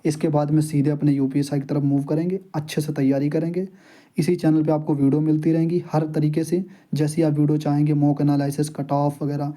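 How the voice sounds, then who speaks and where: Young guy, slightly high voice but really soft and dark, chatting informally to viewers like a study buddy. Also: flat delivery, a little fast. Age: young adult. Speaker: exam-preparation YouTuber and candidate. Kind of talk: casual informal vlog talk to viewers.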